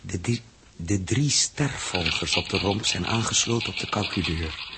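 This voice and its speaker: An actor playing a tense spaceship pilot in a 1960s radio drama, husky, with an urgent, technical way of explaining. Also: male voice, low-pitched, moderate pace, heavy background noise. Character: tense, self-blaming male spaceship pilot, explaining patiently.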